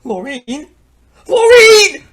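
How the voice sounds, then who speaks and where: A man's voice: very high-pitched, big pitch swings, extremely slow, a bit bright, full character voice. A man playing a flustered, henpecked repairman in an old-time radio drama, stammering and pleading theatrically. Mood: panicked.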